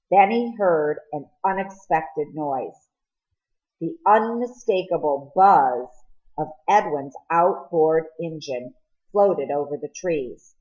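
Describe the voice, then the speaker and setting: A woman reading a story aloud as scripted audiobook narration, with an expressive delivery. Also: on the low side, dull audio. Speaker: audiobook reader.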